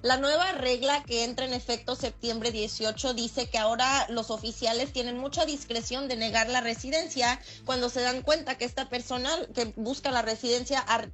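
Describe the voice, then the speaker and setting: Female legal expert for radio interview, Mexican accent, higher-pitched, plain-spoken explanatory delivery. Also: a bit bright. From Michoacán, Mexico. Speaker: lawyer, expert guest.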